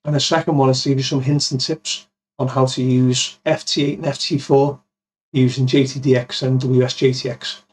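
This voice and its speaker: A man's voice: medium pitch, level tone, moderate pace. A man in his fifties giving an informal, step-by-step tutorial in a plain-spoken way, close on a studio mic. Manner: step-by-step, plain-spoken, addresses viewers as guys. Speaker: amateur radio video presenter. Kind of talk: informal software tutorial with demonstration. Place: small cluttered home office. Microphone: boom-arm studio mic with pop filter, close.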